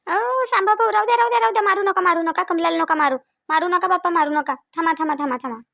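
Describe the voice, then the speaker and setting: A sensible, protective village sister-in-law, played by a woman, high in pitch. She reasons insistently in a rural Vidarbha Marathi accent, as in a homemade family drama. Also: brisk, muffled recording. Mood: urgent.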